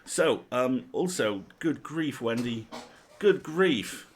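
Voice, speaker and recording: A man's voice: mid-range voice, lively intonation, slow, slight background noise. A man hosting a livestream in casual chat, with a wry, jokey, playful manner. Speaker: livestream host.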